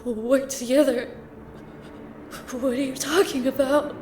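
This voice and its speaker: A woman playing a terrified young killer in anguished denial in a horror audio drama, desperate and halting. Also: sits high, fairly even intonation. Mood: defiant confusion. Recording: echoey room with clear noise.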